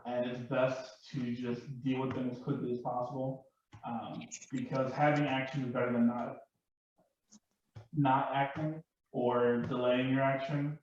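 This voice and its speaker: A man's voice: mid-range voice, level tone, a little slow, a little mellow. Man on a very echoey, noisy mic. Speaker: panel guest and larper. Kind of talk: casual panel discussion. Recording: very echoey, distant, dull, noisy microphone.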